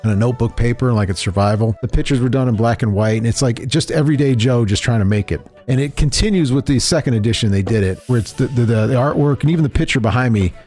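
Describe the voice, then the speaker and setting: Middle-aged male podcast host, warm low-key tone, talks fast and loose, many restarts. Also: faint music behind. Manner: rambling, loose, lots of fillers and restarts. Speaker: host presenting a tabletop game. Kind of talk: casual podcast chat. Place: shop or studio room.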